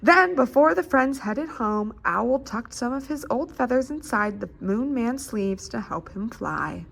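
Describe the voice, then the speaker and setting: A woman's voice: fairly high pitch, expressive, a little slow, vocal fry, a bit bright, a little hiss or hum. An American woman speaking as a children's librarian at storytime, encouraging and addressing young listeners as friends.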